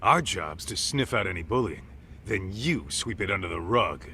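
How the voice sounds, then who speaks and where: Brash, bright-voiced male sidekick. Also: sits low, moderate pace, creaky, a little hiss or hum, exaggerated voice. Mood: accusing. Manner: blunt, animated, informal. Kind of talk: video game cutscene acting, English dub.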